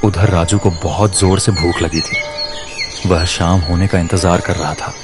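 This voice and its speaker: Deep-voiced storybook narrator man. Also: unhurried, very noisy. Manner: steady, storybook telling. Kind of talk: children's cartoon story narration.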